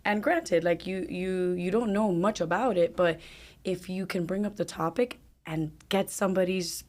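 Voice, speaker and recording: A woman's voice: on the low side, normal speed, a little mellow. Outspoken young woman with a Miami accent. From Ecuador. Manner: candid and emphatic, mixes in Spanish phrases, swears casually. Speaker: podcast guest. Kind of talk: casual conversation.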